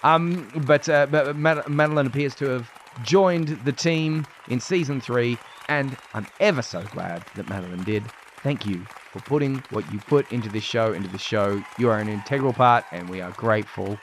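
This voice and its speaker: An Australian man co-hosting a podcast in casual, playful chat, laughing often and muttering quiet asides. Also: mid-range voice, normal speed, a faint crowd, slight background noise. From New South Wales, Australia. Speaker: podcast co-host.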